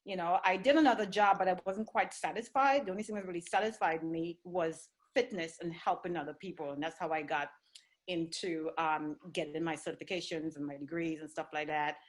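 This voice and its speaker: A woman's voice: lowish voice, steady tone, a little fast, low-quality recording. A middle-aged woman from Trinidad, a fitness trainer, talking easily as a guest with plenty of fillers. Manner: easygoing, with light laughter and fillers. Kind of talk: conversational interview.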